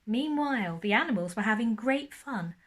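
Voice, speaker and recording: A woman narrating a children's storybook like a primary school teacher, expressive and lingering on key words. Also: fairly high pitch, normal speed.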